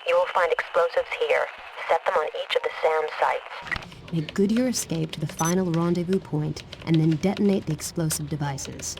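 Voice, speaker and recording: A woman's voice: deep voice, moderate pace, gravelly, noisy background. A woman playing a businesslike military handler in a game, giving clipped, formal, authoritative orders. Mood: firm. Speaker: mission controller. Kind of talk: scripted military briefing.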